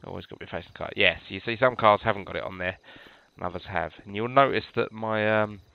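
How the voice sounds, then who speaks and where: A British man with a low, gravelly voice, a little stuffed up from a cold. He chats through a game walkthrough in a relaxed, rambling way, full of ums and restarts, and the recording sounds dull and lo-fi. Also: fast talker. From United Kingdom. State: congested from a cold. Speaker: gamer showing police cars. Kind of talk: casual gameplay walkthrough.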